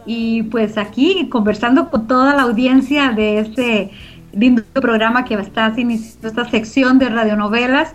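A woman's voice: on the high side, fairly even intonation, normal speed. A middle-aged woman, giving reflective, explanatory answers as an interview guest. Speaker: interview guest, organization founder.

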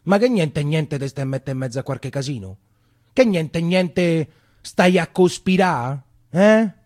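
A man voicing a suspicious, sharp-tongued old Roman grandmother who scolds and nags in a stage monologue. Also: a little high, expressive, a little slow. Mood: probing, worried. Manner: scolding, nagging, probing. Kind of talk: theatrical monologue acting.

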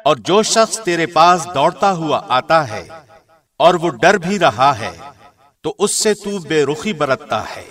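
A man reading a scripture translation as a scripted narration, in a measured, formal way. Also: on the high side, very animated, a bit bright. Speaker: translation narrator, radio host.

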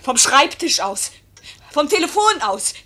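Anguished young wife, high-voiced. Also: female voice, big pitch swings, sharp tone, full character voice. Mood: bitter, accusing. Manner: theatrical, emotional, deliberate phrasing. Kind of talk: 1950s German radio play acting.

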